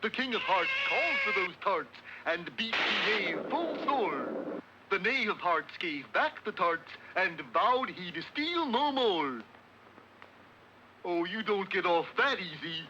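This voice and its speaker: A good-natured, dim cartoon moose. The voice is high and very animated, goofy and earnest as it declaims. The old soundtrack is muffled and noisy. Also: male voice, slow, heavily acted. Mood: declaiming. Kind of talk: 1960s cartoon voice acting.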